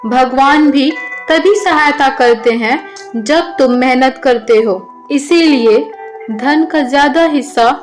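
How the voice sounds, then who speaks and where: Woman voicing a high, measured village headman. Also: soft background music. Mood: instructive. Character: male village headman, fair and wise judge. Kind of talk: children's moral cartoon story.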